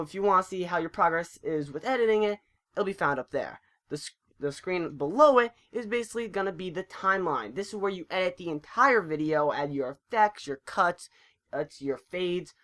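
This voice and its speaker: Tutorial narrator for a screen recording: informal, chatty, mid-pitched voice, a little stumbling in delivery. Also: a bit bright.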